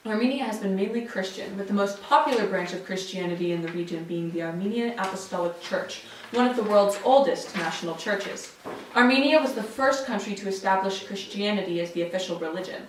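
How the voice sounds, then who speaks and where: A woman's voice: medium pitch, animated, a little fast, slight background noise. Young female student presenter, scripted narration, heard from a distance in a strongly reverberant room. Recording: very echoey room, distant mic.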